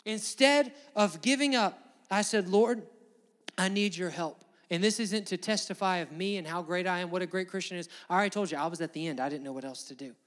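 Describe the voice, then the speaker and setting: A man's voice: high-pitched, brisk, fairly bright tone. A male pastor with a Southern Alabama accent preaching a fervent sermon to a congregation, emphatic, repetitive and exhorting. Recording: long hall reverb.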